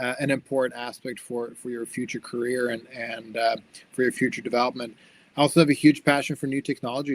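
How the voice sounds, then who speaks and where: Young man, video-call seminar speaker, friendly and upbeat, mid-pitched, frequent restarts. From born in Sweden, raised in the United States. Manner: friendly and upbeat, many restarts and repeated words. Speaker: guest speaker, company founder. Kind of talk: online seminar talk with slides.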